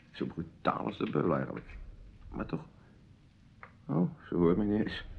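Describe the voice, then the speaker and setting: An actor playing an absent-minded, irritable doctor and husband in a radio play, hesitant and blustering in manner. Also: male voice, deep voice, vocal fry, slight background noise, exaggerated voice. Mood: preoccupied. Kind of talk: Dutch radio play dialogue. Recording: dull lo-fi radio play recording.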